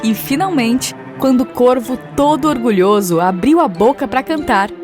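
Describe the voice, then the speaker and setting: Brazilian woman narrating over clear music. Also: on the high side, lively intonation, normal speed. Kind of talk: scripted children's fable narration. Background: instrumental music.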